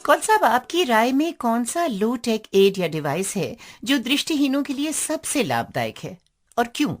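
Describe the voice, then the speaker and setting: Lively-toned Indian woman interviewer. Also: fairly high pitch, moderate pace, faint music behind. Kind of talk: prepared radio interview questions.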